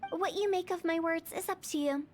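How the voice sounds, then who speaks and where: A woman playing a young funeral parlor director in a video game, theatrical and measured, a playful yet solemn storyteller. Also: very high-pitched, soft background music. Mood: cryptic, firm.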